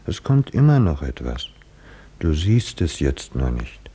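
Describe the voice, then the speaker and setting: An actor in a 1950s German radio drama playing a calm, consoling companion with a measured, solemn delivery. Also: male voice, rumbling low, slight background noise, exaggerated voice. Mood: grave, consoling. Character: short-sighted male companion of Odysseus, calm and consoling.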